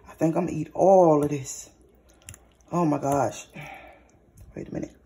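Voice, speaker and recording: A hoarse woman chatting through a cooking vlog, addressing viewers as y'all and savoring bites with lots of hums. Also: sits low, normal speed, warm tone. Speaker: cooking vlogger. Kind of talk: casual cooking vlog with tasting. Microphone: phone or camera mic, recording alone in a kitchen.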